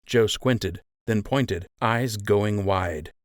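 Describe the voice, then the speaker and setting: A man's voice: on the low side, very slow, dry recording, clean studio sound. A man reading a children's adventure audiobook as scripted storytelling narration.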